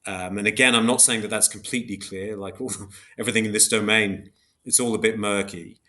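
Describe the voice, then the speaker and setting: Low-pitched man, careful academic explainer. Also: steady tone, fairly quick, bright, forceful tone. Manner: hesitant, precise, self-correcting. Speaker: philosopher guest, book author. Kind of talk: academic interview, explaining concepts.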